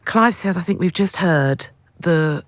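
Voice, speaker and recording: Deep-voiced woman, radio interviewer. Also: animated, a little slow. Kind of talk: conversational celebrity interview.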